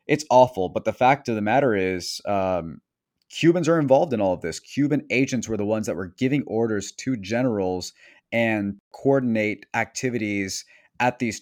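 A man from Venezuela hosting an interview podcast, talking in quick, earnest bursts. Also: on the low side. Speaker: podcast host.

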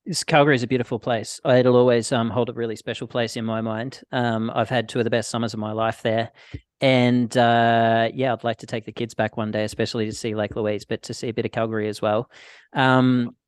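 A man's voice: mid-range voice, little pitch movement, brisk, smooth, dry recording. A man, hosting a casual interview and calling his guest "mate". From Australia. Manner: friendly, says "mate", asks open questions. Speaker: podcast host.

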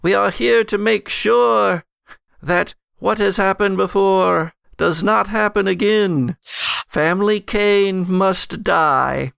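A man's voice: high voice, slow pace, gravelly, fairly bright tone, smooth, no echo, clean studio sound, voice pushed up, heavily acted. A man voicing a menacing rabbit-headed cultist in a tabletop game, declaiming solemnly.

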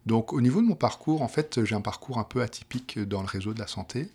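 Gravelly middle-aged Parisian man. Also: medium pitch, expressive, fast, a little mellow. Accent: Parisian French. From France. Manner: hesitant, many restarts and fillers. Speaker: project manager for a provincial health plan. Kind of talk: explanatory interview answers.

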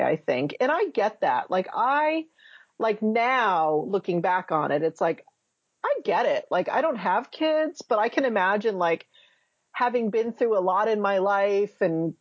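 A woman's voice: on the low side, slightly rough, dry recording, lo-fi sound. A woman in her fifties, a memoir author answering interview questions thoughtfully, searching for words and restarting often. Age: 50s.

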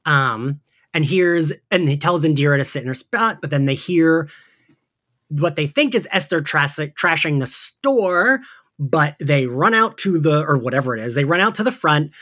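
A man's voice: a little high, animated, normal speed, a bit bright. A man hosting a casual recap podcast, speaking in an enthusiastic, gushing way. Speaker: podcast host. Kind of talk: casual podcast chat recapping an audio drama.

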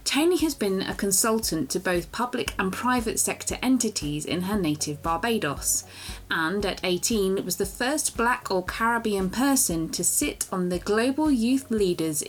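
Host voice: woman at middle pitch, brisk and formal, reading a scripted intro. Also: rough recording. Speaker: podcast host.

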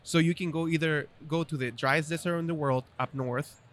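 A man's voice: a little high, steady tone, normal speed, fairly bright tone. A man from Chile with a Chilean Spanish accent, a native Spanish speaker chatting as a podcast guest, laughing often and restarting phrases. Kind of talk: casual interview chat.

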